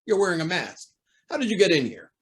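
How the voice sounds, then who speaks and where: A man's voice: high voice, very animated, fast. A man playing a troubled, guilt-ridden judge, abrupt and defensive, in old-time radio drama style. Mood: alarmed, indignant. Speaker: actor in a radio play.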